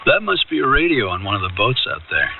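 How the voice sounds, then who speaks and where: Insistent young suitor, tinny radio sound. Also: male voice, medium pitch, big pitch swings, fast talker, gravelly, recorded from a distance. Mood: coaxing. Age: 20s. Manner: coaxing, insistent, romantic. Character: persistent, smooth-talking twenty-five-year-old male suitor. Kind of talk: radio drama acting. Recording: thin band-limited radio recording, loud music.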